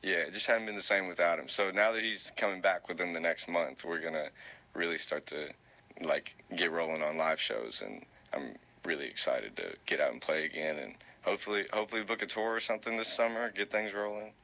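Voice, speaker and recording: Young man, very low voice, bright edge, relaxed rambling talk, tinny recording. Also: fairly quick, creaky. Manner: relaxed, rambling with many fillers and restarts. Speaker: musician guest. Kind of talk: casual interview answers.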